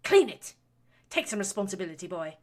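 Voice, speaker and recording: A woman voicing a domineering, scornful noble mother who scolds her son in a shrill, loud tirade. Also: sing-song, a little fast, close to an everyday voice. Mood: scolding. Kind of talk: audiobook reading of fan fiction.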